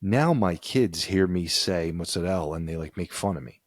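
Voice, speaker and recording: Low-voiced man co-hosting a chat. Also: expressive, normal speed, no room sound, clean studio sound. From United States. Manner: reads passages aloud, then riffs conversationally. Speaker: podcast co-host. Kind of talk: casual chat.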